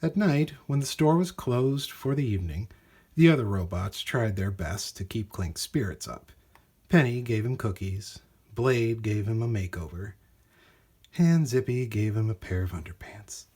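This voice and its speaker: A man reading a children's storybook aloud in an animated, expressive way with dramatic emphasis. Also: fairly low pitch, a little slow, husky. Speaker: storybook reader.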